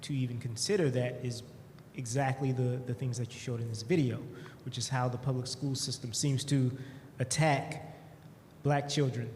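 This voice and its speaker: A man's voice: mid-range voice, darkish tone. Man asking a question in an echoey hall. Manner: measured, deliberate questioning. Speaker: audience member asking a question. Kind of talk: audience question at a conference. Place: conference breakout room. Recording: echoey hall with background noise.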